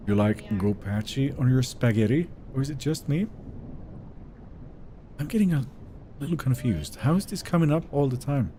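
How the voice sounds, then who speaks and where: Lively chatty man, mellow voice. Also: medium pitch, normal speed, pauses often, a little hiss or hum. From Sweden. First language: Finnish. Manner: chatty, reads viewer messages aloud and answers them. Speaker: game streamer. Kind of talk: casual live-stream chat while gaming.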